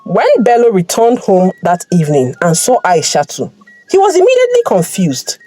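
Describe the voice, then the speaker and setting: A woman's voice: mid-range voice, very animated, normal speed, mellow, faint music behind. A woman storyteller reading a scripted folktale narration.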